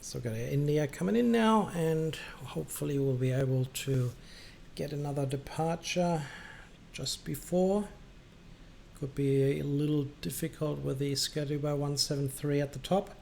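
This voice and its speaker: A man with a somewhat high voice hosts a casual flight control game stream. He speaks in a halting, rambling way and switches into controller phrases. There is faint noise behind him. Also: a little mellow. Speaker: livestream host playing air traffic controller. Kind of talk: casual gaming livestream chat with radio calls. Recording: clearly noisy background.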